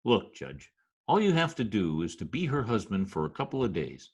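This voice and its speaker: An actor playing a wry, deadpan Broadway go-between who also narrates, in old-time radio comedy style. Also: male voice, lowish voice, normal speed, husky, full character voice. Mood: wry, persuasive.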